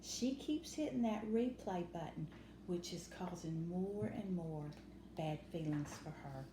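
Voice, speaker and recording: A woman reading a picture book aloud to children in an expressive, instructive teacher's manner, dwelling on key words. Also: on the low side, a little slow. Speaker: storytime reader and teacher. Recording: roomy echo with faint background noise.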